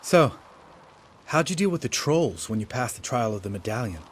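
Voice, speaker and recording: An actor playing a younger monster hunter in a fantasy video game, cynical and bitter, with a blunt, sardonic manner. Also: male voice, medium pitch, sing-song, normal speed, slight background noise, natural voice. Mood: wry, callous.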